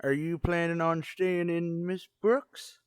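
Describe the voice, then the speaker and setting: A man's voice: on the high side, little pitch movement, slow pace, smooth, no room sound, clean studio sound. A man playing a smitten, stubborn old custodian with folksy, rustic turns of phrase in a radio comedy. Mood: folksy, eager.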